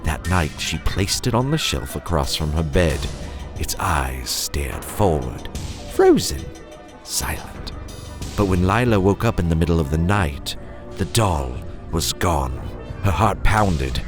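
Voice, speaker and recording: A man hosting and narrating a horror story in a theatrical, suspenseful style, with playful asides and dramatic pauses. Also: sits low, animated, music in the background.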